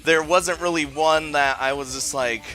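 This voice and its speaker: A man's voice: on the high side, steady tone, moderate pace, sharp tone, low-quality recording. A man giving casual, informal video commentary as a game reviewer, talking in an enthusiastic, rambling way. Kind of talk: casual top-five list commentary.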